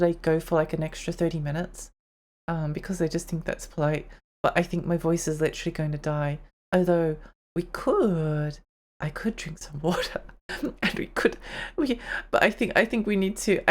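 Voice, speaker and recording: A woman's voice: lowish voice, fairly even intonation, normal speed, a little mellow. A young woman streamer chatting casually on a live stream, her voice tired and strained, rambling and giggling as she talks.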